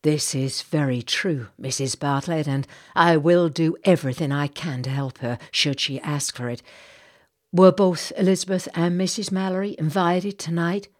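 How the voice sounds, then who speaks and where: A woman voicing an earnest, courteous gentleman captain, speaking in a grave, formal, measured way for an audiobook. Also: low voice, close to an everyday voice. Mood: grave politeness.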